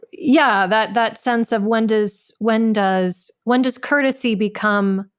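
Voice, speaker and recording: A woman's voice: medium pitch, clean tone. Thoughtful woman with a mid-range voice. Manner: halting, searching for words, repeats phrases. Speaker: member of a play's creative team. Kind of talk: reflective discussion.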